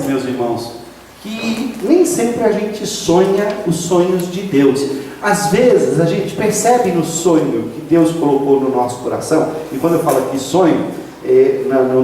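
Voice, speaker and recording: A man's voice: fairly high pitch, dark tone, a little hiss or hum. A man, preaching a sermon emphatically, drawing out key phrases and rising to exclamations. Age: 50s. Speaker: pastor. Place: church sanctuary. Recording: echoey hall from a distant mic.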